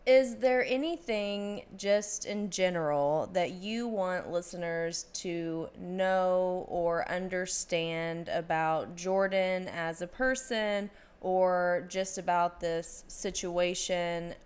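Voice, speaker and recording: A woman's voice: on the low side, slow pace, few pauses, darkish tone, smooth. A woman hosting an interview podcast, speaking in an earnest, articulate and emphatic way.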